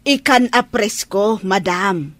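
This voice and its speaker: An actress in a radio drama playing a persistent fish vendor who talks in a pleading sales manner. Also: female voice, mid-range voice, expressive, slow pace, fairly bright tone, no echo. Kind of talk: Ilocano radio drama acting.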